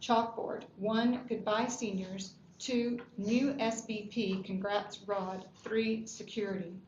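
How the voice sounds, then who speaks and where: A dull, echoing room recording of a woman narrating. She reads scripted stage directions at a table read, with a mid-range pitch. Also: steady tone, slow pace, a little mellow. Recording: dull, echoey room with background noise.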